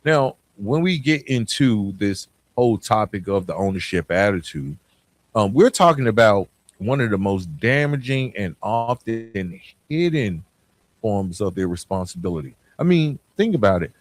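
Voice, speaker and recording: A male podcast host with a fairly low voice gives a conversational motivational talk. He is plainspoken and speaks straight to his listeners, often putting questions to them. The sound is dry, with very little room in it. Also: expressive, moderate pace, no room sound. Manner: plainspoken, addresses listeners directly with rhetorical questions.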